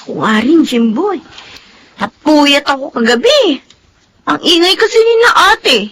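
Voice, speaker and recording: A woman's voice: high-pitched, animated, unhurried, fairly bright tone, heavily acted. An actress playing a weary, plaintive pregnant young Filipino woman in a radio drama. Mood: sleepy, grumbling.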